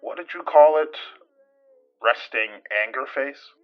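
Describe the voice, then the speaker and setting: An actress playing a serious, deadpan woman with dry humor in audio drama dialogue, terse and probing in a husky voice. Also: a bass voice, measured pace, highs cut off.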